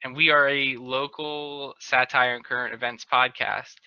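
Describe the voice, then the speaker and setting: A man's voice: mid-range voice, fairly even intonation, measured pace, sharp tone, clear, resonant tone. A man hosting a podcast in casual, teasing comedic banter over a dull-sounding video call. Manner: teasing, joking. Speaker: podcast host.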